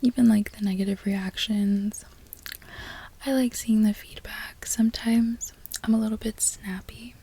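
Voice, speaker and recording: A woman whispering close to the microphone in a put-on Australian accent, chatting in a soft, unhurried way for an ASMR product review. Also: on the high side, fairly even intonation, breathy edge. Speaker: ASMR creator. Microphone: close sensitive microphone.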